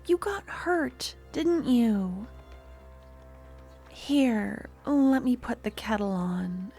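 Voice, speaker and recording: A woman's voice: sits high, pitched above the performer's own voice, full character voice. A woman playing a doting possessive shopkeeper. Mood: excited, gushing. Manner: soft, intimate, slips into whispers. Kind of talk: ASMR audio roleplay.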